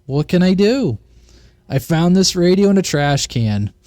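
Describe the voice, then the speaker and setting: A man's voice: sits high, big pitch swings, a little slow, a little mellow, dry recording, clean studio sound, voice pushed up. A man voicing a laid-back, unbothered CB radio buddy in a casual, folksy story.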